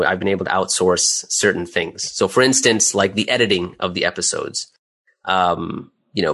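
A man with a fairly low voice talks as a guest in a relaxed interview. He explains his work conversationally, one step at a time, often checking that the listener follows. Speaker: interview guest, audio drama creator and voice actor.

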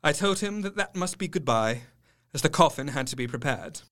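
An actor playing a grieving young doctor, narrating his diary in a measured, literary and solemn way. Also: on the high side, lively intonation, fairly bright tone. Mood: sombre, tender. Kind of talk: dramatic first-person audio drama narration. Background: music.

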